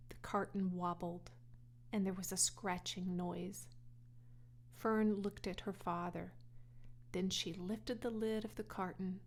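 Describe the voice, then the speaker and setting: A woman reading a children's storybook aloud as a scripted audiobook narration. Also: mid-range voice. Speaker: audiobook reader.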